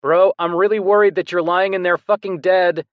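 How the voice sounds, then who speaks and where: A panicked young man pleads with his brother, voice pushed up higher and louder, swearing when he gets upset, as the narrator puts him on. The audiobook read is dry and close, with no room around it. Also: steady tone, normal speed, no room sound, phone-quality sound, natural voice. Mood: worried pleading.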